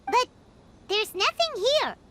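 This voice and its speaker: A woman voicing a small, childlike fairy companion in a video game, excitable and naive, with an exclamatory way of talking. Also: takes it slowly, slight background noise, exaggerated voice. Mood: puzzled, curious. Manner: squeaky, exclamatory, speaks of self in third person. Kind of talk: video game character voice acting. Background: game music.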